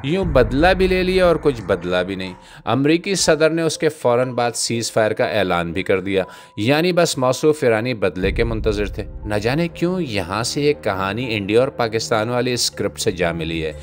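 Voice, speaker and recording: A man's voice: mid-range voice, expressive, normal speed. A man delivering scripted satirical political commentary in a sardonic, literary way. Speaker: commentator, host.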